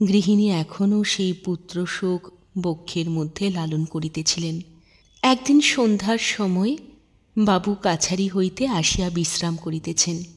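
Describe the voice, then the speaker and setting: A woman storyteller narrating literary fiction in an expressive, measured, dramatic way. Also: medium pitch, slightly rough.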